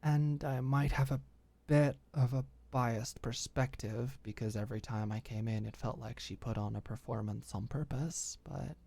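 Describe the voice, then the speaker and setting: A woman playing a frank, caring young woman who gives careful, halting advice in an intimate audio drama. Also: rumbling low, fairly even intonation, gravelly, dark tone, breathy edge, exaggerated voice. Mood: careful, hesitant. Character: Alicia, frank, caring, tentative young woman advising a friend.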